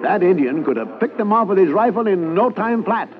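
An actor playing a Mountie sergeant who reminisces slowly and proudly about his men, in a 1950s radio drama. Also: sits high, lively intonation, a crowd in the background. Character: adult male Mountie sergeant, proud and reflective. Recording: tinny old radio broadcast.

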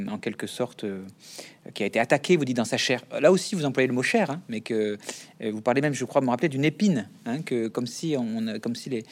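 A male interviewer putting long questions to a guest, pausing often and searching for his words. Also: mid-range voice. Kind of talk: radio or TV interview.

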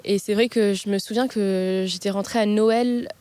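A young woman in her mid-twenties from Lebanon talks over a handheld mic while sitting on the grass in a park. Her voice is mid-pitched, and she hesitates often, filling gaps and restarting her sentences. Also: steady tone, moderate pace, darkish tone, no echo. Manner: hesitant, many fillers and restarts. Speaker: interview guest. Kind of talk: casual interview chat.

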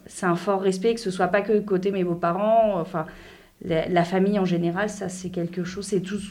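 A woman in her thirties from France, chatting casually as an interview guest, talking in quick bursts with many restarts. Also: on the low side, fairly even intonation, a little mellow.

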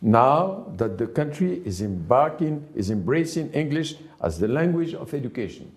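A man's voice: medium pitch, big pitch swings, normal speed. A man hosting an interview, pushing back on his guest with short, challenging questions. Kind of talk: conversational interview.

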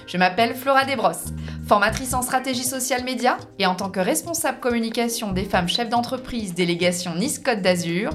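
A woman hosting a business podcast, who opens with a measured scripted welcome and then asks interview questions. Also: fairly high pitch. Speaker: podcast host.

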